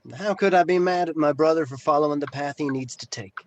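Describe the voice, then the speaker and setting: A man playing a calm, understanding younger brother in an amateur script read-through. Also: a little high, fast, close to an everyday voice. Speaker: table read participant.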